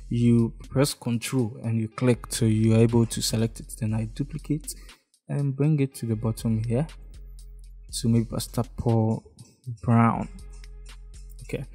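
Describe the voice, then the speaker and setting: A young man from Ghana with a Ghanaian English accent, talking step by step through a screen-recorded design tutorial and often checking in with "okay?". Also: medium pitch. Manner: relaxed, step-by-step, frequent "okay?" check-ins. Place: indoor room, face in webcam corner.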